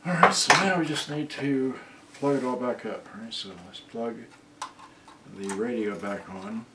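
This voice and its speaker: Guy mumbling to himself while fixing stuff, stop and start, really slow, loud noise and clicky clatter. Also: medium pitch, roomy sound, distant mic. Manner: halting, talking to himself while working. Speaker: repair video host. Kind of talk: casual muttered hands-on repair commentary.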